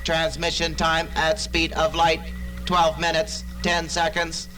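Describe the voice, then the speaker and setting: A man's voice: on the high side, completely flat delivery, takes it slowly, pushed and bright. A man voicing an impassive computing machine in an old-fashioned radio drama, reciting in a mechanical, emotionless way. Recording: old tape, music underneath.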